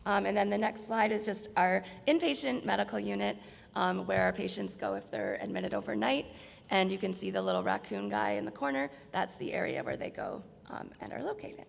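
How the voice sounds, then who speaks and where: Very creaky woman, slightly hoarse, presenting. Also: medium pitch, fairly quick, slight background noise. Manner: explanatory, conversational. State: slightly hoarse, losing her voice. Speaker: presenter from hospital staff. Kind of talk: informal slide presentation at a conference. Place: large indoor presentation room. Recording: reverberant hall.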